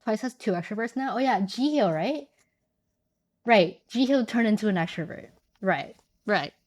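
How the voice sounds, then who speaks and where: Woman streamer, voice going hoarse. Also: mid-range voice, expressive, moderate pace, a little mellow. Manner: chatty, reads chat aloud. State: losing her voice. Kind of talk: casual live stream chat.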